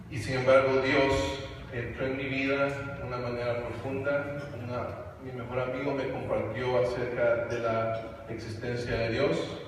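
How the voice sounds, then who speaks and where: A young man raised in Canada giving a halting testimony to a church congregation, full of fillers and restarts. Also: mid-range voice. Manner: hesitant, many fillers and restarts. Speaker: guest speaker on evangelism. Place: large church hall. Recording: echoey hall from far off, noisy.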